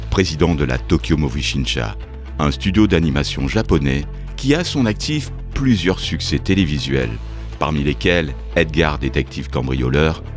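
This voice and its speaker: An extremely deep male voice narrates a documentary from a script. The man has an accent from France, and music plays clearly behind him. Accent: French from France. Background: music behind the voice-over.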